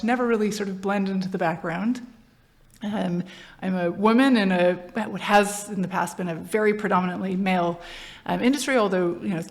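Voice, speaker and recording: A woman's voice: medium pitch, creaky. A woman in her forties with an American accent, talking animatedly as a podcast guest. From Brazil. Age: 40s. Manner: animated, self-deprecating, many restarts. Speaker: podcast guest, agency founder. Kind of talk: casual interview chat.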